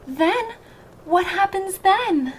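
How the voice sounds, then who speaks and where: A woman's voice: very high-pitched, expressive, extremely slow, pitched above the performer's own voice, heavily acted, low-quality recording. A woman voicing a restless, discontented young male fir tree in a children's storybook, wistful and full of eager questions. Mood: eager, curious.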